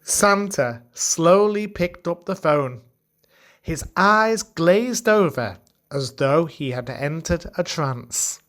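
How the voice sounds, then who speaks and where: A man's voice: fairly high pitch, lively intonation, takes it slowly, fairly bright tone. A man narrating a radio play from a script as a storyteller.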